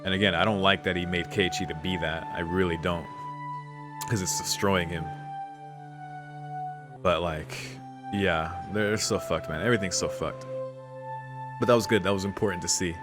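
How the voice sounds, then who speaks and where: A man's voice: low voice, steady tone, moderate pace, long pauses. A man doing casual let's play commentary in a laid-back, slangy way. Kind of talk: casual gaming commentary, reading subtitles aloud. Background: game music.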